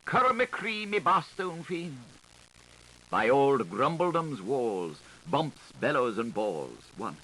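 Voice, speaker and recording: A man reading literary prose aloud as an audiobook narrator, in a playful, declamatory, theatrical way with deliberate phrasing, on a 1980s recording. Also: medium pitch, sing-song, pushed and bright, low-quality recording.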